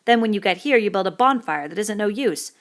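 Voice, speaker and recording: A woman's voice: mid-range voice, animated, a little fast, pitched above the performer's own voice, lightly acted. Woman voicing a fussy, indignant schoolboy. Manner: indignant, lecturing, breathless, working-class boy's dialect. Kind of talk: audiobook character reading.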